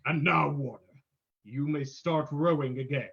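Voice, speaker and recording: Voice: medium pitch, measured pace, gravelly, fairly bright tone, muffled recording. An actor playing a mocking, commanding invisible crime-fighter in an old-time radio mystery, making ominous, taunting pronouncements.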